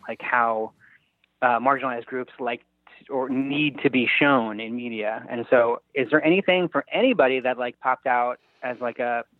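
A man chatting casually as a guest writer, halting and thoughtful as he talks. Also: mid-range voice, dry recording, narrow, tinny audio. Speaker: guest screenwriter. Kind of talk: casual chat.

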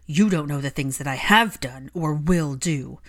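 A woman voicing a proud, guarded father in a story, cold and angry, with a curt, forceful delivery. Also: deep voice, unhurried. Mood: furious, defensive.

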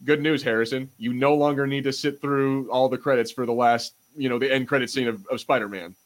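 A man's voice: medium pitch, fairly even intonation, a little fast. A man co-hosting a podcast in casual pop-culture banter, speaking informally and swearing freely. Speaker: podcast host.

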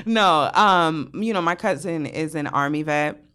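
A woman's voice: low-pitched, a little slow, fairly bright tone, smooth. A young adult woman from Brooklyn co-hosting a podcast, chatting casually, laughing often and swearing freely as she tells stories. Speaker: podcast co-host. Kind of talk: casual chat.

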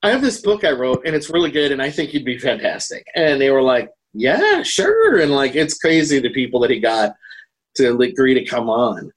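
A man's voice: mid-range voice, big pitch swings, normal speed, slightly rough, low-quality recording. A man co-hosting a podcast, chatting casually and in a rambling way about horror, acting out bits of dialogue as he retells things. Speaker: podcast co-host. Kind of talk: casual chat about horror books and films.

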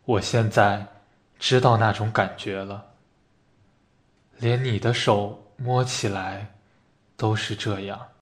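A man acting a dying hospital patient in a radio play, calm, resigned and reflective as he speaks quietly. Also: lowish voice, takes it slowly, pauses often. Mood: dreamy, reflective. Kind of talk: amateur radio drama reading of a stage play.

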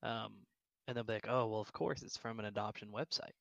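A man joining a casual podcast discussion, talking in a relaxed, hesitant way with many fillers. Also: fairly low pitch, fairly quick, gravelly, dry recording, muffled recording. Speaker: podcast guest.

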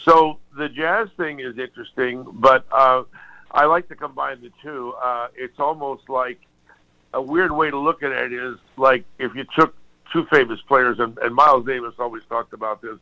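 An older man from Hawaii, a guitarist guest, telling his story in a relaxed, rambling way with restarts and apologies. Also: mid-range voice, moderate pace. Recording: dull lo-fi sound.

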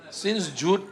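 A man with a Malaysian accent giving a humorous teaching talk to a church group, joking at his own expense and tagging sentences with "ah" and "huh". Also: high-pitched. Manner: joking, self-mocking, tags sentences with "ah" and "huh".

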